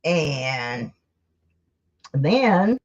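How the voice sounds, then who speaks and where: A woman's voice: low-pitched, big pitch swings, drawn-out words, breathy edge. A woman hosting a live stream, chatting casually and rambling to her viewers in a folksy, self-deprecating way, on a dull lo-fi recording. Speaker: livestream host.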